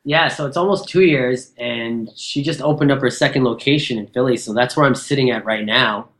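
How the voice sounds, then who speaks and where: Hombre charlatán en videollamada. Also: male voice, medium pitch, brisk, fairly bright tone. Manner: rambling, chatty, many fillers. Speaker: podcast co-host. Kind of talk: casual podcast chat. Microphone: remote call.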